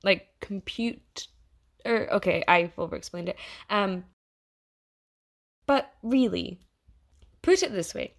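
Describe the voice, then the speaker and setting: Slow-paced, earnest AI voiced by a woman. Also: mid-range voice, lively intonation, gravelly, full character voice. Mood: dismayed, wry. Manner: conversational, wry, halting, emphatic pauses. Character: earnest artificial intelligence, fond of yet disappointed in humanity. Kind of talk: audio theatre monologue addressed to the listener.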